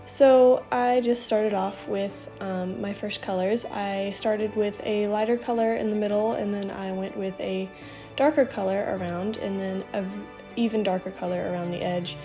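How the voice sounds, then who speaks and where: A woman's voice: mid-range voice, normal speed, soft background music. A young woman with a stuffy voice from being sick, casually talking through her coloring in a rambling voiceover. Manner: relaxed, rambling with many ums. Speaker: art channel host. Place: home room, indoors. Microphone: camera mic, then voiceover.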